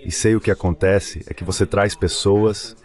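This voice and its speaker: A man voices a translation of a political debate. His voice is clearly deep, well below the usual male pitch. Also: steady tone, normal speed, a little mellow. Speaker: voice-over translator.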